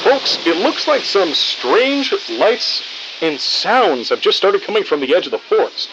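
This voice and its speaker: A man's voice: high voice, sing-song, measured pace, heavily acted. A man playing an eager, pushy TV news field reporter, delivering a live report in an audio drama. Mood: excited, urgent. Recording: dull sound with clear noise.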